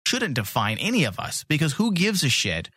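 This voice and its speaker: A man with a very lively, swooping delivery talks casually as a podcast host. He is blunt and opinionated, swears freely, and the sound is close and dry. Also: on the high side, moderate pace, breathy edge, no room sound.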